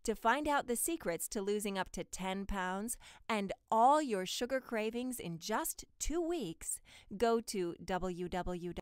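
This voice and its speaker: A woman reads promotional copy as a voice-over artist. Her voice is smooth and resonant, and she takes her time with a polished, carefully enunciated delivery. The sound is close and dry, with no room echo. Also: medium pitch, expressive, unhurried. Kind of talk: scripted promotional voice-over for health and diet ads.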